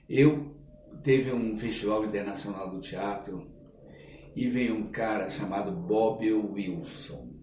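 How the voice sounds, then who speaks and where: A man's voice: fairly low pitch, darkish tone, recorded from a distance. Roomy-sounding Brazilian man telling stories, off-mic. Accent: Brazilian Portuguese. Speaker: podcast guest, stage performer. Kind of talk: conversational storytelling interview. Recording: roomy echo, off-mic, faint noise.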